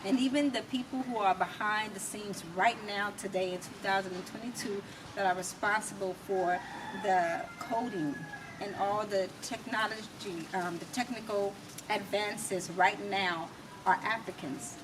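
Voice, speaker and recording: An American woman giving her opinions as an interview guest, emphatic and rhetorical, speaking in deliberate, repeated phrases. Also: mid-range voice. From United States. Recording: distant mic with clear background noise.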